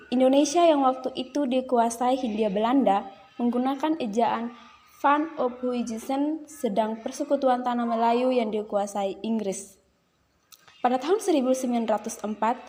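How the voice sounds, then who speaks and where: A woman's voice: a little high, moderate pace, dark tone, in a large hall, a little hiss or hum. A young woman student reading a class presentation with uneven speed.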